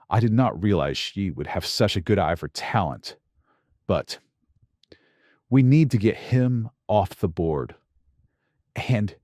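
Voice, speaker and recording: An actor playing a corrupt, calculating intelligence director in a radio drama, speaking in measured, deliberate phrases. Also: male voice, on the low side, a little mellow, no room sound, clean studio sound. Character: corrupt CIA director, calculating, secretly villainous man. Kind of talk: radio drama dialogue on a phone call.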